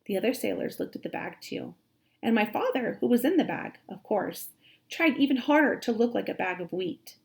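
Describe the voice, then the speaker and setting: A woman reads a children's story aloud like a teacher, expressively, with dramatic emphasis and drawn-out words. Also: mid-range voice, big pitch swings, creaky.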